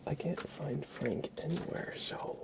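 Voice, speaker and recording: A man hosting an ASMR livestream, chatting casually and softly close to a sensitive microphone. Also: on the high side, vocal fry, background noise. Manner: whispered, soft-spoken, unhurried. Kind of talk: ASMR, casual stream chat.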